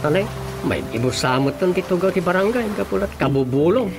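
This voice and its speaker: An actor playing an inquisitive village official's helper with an Ilocano accent in a radio drama comedy. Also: male voice, high voice, expressive, brisk, vocal fry. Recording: loud music bed behind the voice.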